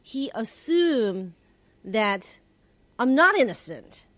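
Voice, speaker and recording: A woman's voice: on the high side, big pitch swings, slow, a bit bright, no room sound. A woman from China, in her forties, telling stories from her career as a podcast guest, reflective, with restarts and small laughs. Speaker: podcast guest, former government relations head. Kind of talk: conversational interview storytelling.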